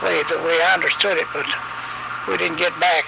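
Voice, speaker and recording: An older man with a rural Kentucky accent, telling his memories in a plainspoken, halting, folksy way as an interviewee. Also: a little high, steady tone, vocal fry, background noise. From Kentucky, USA. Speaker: eyewitness interviewee, war veteran. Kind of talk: unscripted personal reminiscence. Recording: radio interview over music bed. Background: background music.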